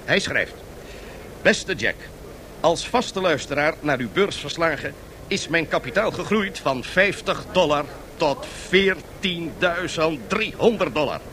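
An actor playing an excitable, boosterish 1920s American stock-exchange radio reporter in a Dutch radio drama, theatrically addressing his listeners like a salesman. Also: on the high side, a little slow. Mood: jovial, salesmanlike. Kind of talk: 1970s Dutch radio drama, live broadcast commentary.

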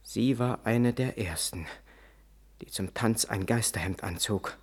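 An actor playing a proud, solemn Lakota warrior father who recounts the past in a German radio play, in measured storytelling. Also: lowish voice, a little mellow, husky. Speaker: narrator and lead character.

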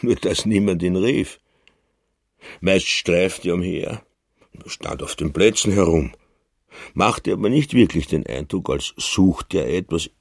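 A man reading scripted literary narration in a radio crime drama with a husky voice. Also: low voice, lively intonation, unhurried, dry recording, studio quality.

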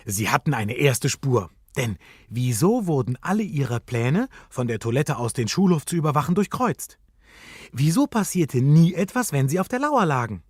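A man's voice: on the high side, husky, dry recording, studio quality. A man narrating a children's detective radio play in a suspenseful, dramatic storytelling manner.

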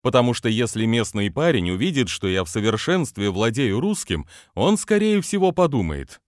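A man's voice: medium pitch, big pitch swings, moderate pace, dry recording, studio quality. A man, a business trainer, reading his own motivational book aloud with non-native pronunciation and an earnest manner.

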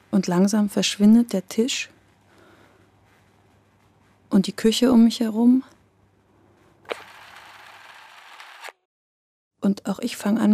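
A woman's voice: mid-range voice, creaky, mellow, husky, heavily acted. An actress playing a reflective woman who narrates her memories in an intimate radio drama. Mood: calm, reflective. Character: reflective woman remembering her lost childhood best friend.

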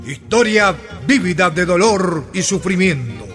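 Slow, high-voiced male radio narrator. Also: animated, a bit bright. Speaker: radio drama announcer and narrator. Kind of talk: dramatic scripted narration. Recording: studio radio drama with music underneath.

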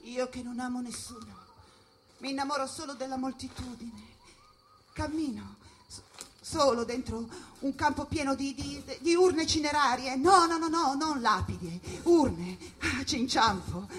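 A woman acting a solitary, haunted spirit in a theatrical radio monologue, incantatory and repeating phrases as the delivery swings between hush and cries. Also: high-pitched, a little slow. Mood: brooding, then agitated, proud. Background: live jazz percussion and music.

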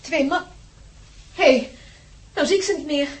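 A woman's voice: high voice, normal speed, natural voice. An actress in a radio play playing an anxious, frightened wife, polite but urgent in her worry. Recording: noisy radio play recording.

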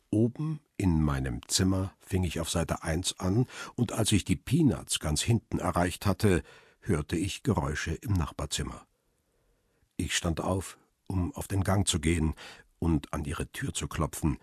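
Deep, husky, brooding narrator man. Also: darkish tone, no echo, studio quality, exaggerated voice. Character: middle-aged male paparazzo narrator, brooding and longing. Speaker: narrator and lead character. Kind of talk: radio drama, literary first-person narration.

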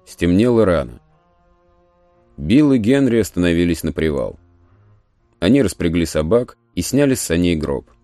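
A man narrating a literary audio play in a measured, solemn storytelling manner. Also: low voice, very animated, dry recording. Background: soft music.

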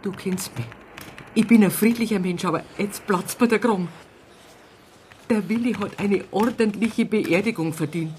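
An actress playing a blunt, stubborn, grieving middle-aged aunt with a Bavarian accent in a naturalistic radio drama. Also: female voice, mid-range voice, normal speed, a little mellow, slight background noise, lightly acted. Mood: wounded, insistent. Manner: blunt, insistent, wounded. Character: stubborn, indignant, grieving aunt, plain small-town Bavarian woman.

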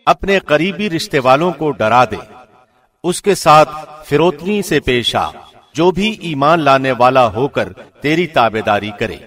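A man's voice: a little high, animated, a bit bright, big-room sound. A man reading a scripture translation from a script in a formal radio presenter's style.

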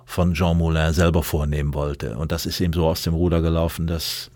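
A man giving considered, analytical commentary as an expert in an interview, pausing as he weighs his words. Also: extremely low pitch, little pitch movement, a little mellow, a little hiss or hum.

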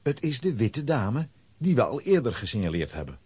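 A man's voice: mid-range voice, very animated, moderate pace, darkish tone, husky, dry recording. A man narrating a children's audio drama in a dramatic, scripted storybook style.

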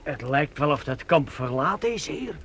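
A man's voice: a little high, sing-song, moderate pace, fairly bright tone, slight background noise. An actor playing a timid, servile medieval man-at-arms who stammers and keeps answering "my lord" in a radio drama. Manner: meek and stammering, answering with "heer".

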